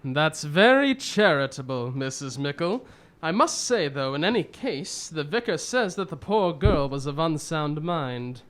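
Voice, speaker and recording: A man playing a genial, expressive old-school gentleman. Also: on the high side, unhurried. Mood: wry, courteous. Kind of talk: radio drama acting and narration.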